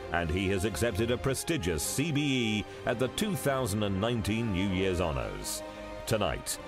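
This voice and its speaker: Announcer guy reading the winner's bio, lively delivery, music underneath. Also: lowish voice. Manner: measured, formal reading. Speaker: award announcer. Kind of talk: scripted voice-over narration. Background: music bed.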